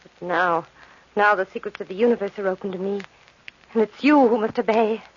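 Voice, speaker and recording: An actress playing an imperious, centuries-old undead Egyptian princess with a haughty, mocking, deliberate delivery in radio horror style. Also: female voice, mid-range voice, husky, lightly acted. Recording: dull, noisy old radio recording.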